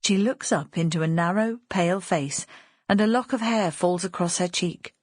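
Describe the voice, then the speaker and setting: Female audiobook narrator, lowish voice with some huskiness, literary storytelling, very dry studio sound. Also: normal speed, no echo.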